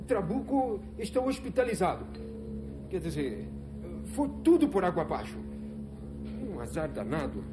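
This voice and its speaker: A man's voice: sits high, sing-song, normal speed, pauses often. A man acting a hapless, submissive bandit henchman in a Brazilian telenovela, plaintive, hesitant and apologetic. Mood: resigned. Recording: old TV soundtrack under music.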